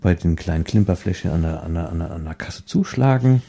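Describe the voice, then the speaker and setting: A man chatting casually in a rambling, halting way, often repeating words as he searches for the next one. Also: low voice, expressive, vocal fry, warm tone.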